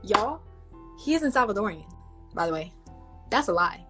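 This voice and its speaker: A woman excitedly gossiping to her viewers. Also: mid-range voice, moderate pace, soft background music. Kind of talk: gossiping on a livestream.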